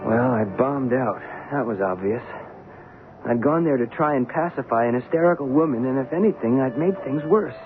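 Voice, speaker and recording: An actor playing a harried, diplomatic producer's assistant in an old-time radio drama, halting and wry. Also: male voice, mid-range voice, animated, soft background music, lightly acted. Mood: wry exasperation. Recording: phone-like, noisy old radio recording.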